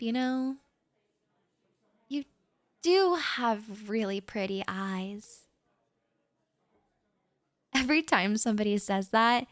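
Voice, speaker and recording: A woman's voice: high voice, a bit bright, dry recording, studio quality, full character voice. A woman playing a shy, anxious young writer who rambles nervously with stammers and little laughs, talking straight to the listener in a roleplay audio.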